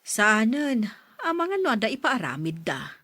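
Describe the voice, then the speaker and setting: A woman's voice: fairly high pitch, sing-song, moderate pace, pushed and bright, no echo, heavily acted. A woman playing an obliging, inquisitive worker's wife and mother in an audio drama, with a deferential manner.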